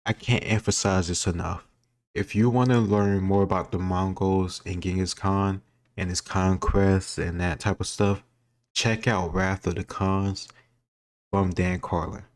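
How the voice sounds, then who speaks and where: American dude, really deep voice, not much pitch movement, rambly casual reacting, corrects himself. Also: male voice, little pitch movement, a little slow. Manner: rambling, opinionated, self-correcting. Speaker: host reacting to a history quiz video.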